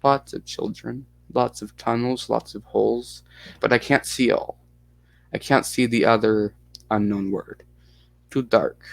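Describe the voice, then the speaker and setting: A man voicing a frightened seven-year-old Russian girl who speaks halting broken English in a Russian accent. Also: medium pitch, fairly even intonation, creaky, dry recording. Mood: bleak, haunted. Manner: halting, simple broken sentences.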